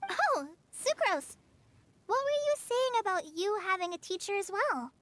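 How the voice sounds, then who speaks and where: A woman's voice: very high voice, very animated, unhurried, fairly bright tone, no room sound, heavily acted. A woman voicing a shy, polite teenage girl in an anime game, earnest and a little hesitant.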